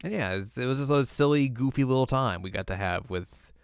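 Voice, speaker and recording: A man's voice: medium pitch, fairly quick, clean tone, no echo, clean studio sound. A man co-hosting a podcast, chatting casually as he reviews films. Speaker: podcast co-host.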